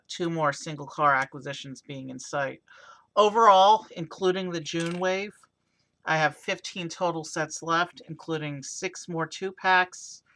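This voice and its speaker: A hoarse man with a raspy voice casually narrating a toy car build on a stream, rambling with many fillers. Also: on the high side, sharp tone. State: hoarse from overuse. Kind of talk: casual live-stream commentary.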